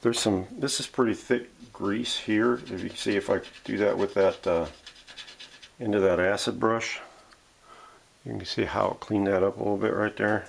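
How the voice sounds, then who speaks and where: Low-voiced man thinking aloud at the workbench. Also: steady tone, normal speed, gravelly, slight background noise. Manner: muttering step-by-step commentary, thinking aloud. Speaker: vintage radio restorer hosting a workshop video. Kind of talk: casual hands-on narration while working. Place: home workshop.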